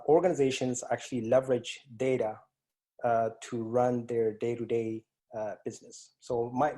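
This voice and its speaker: A man's voice: mid-range voice, a little mellow. Man with an East African accent. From East Africa (Ethiopia and Kenya). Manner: hesitant, many fillers and restarts. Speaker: guest, IT strategist. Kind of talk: interview answers. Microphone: remote call.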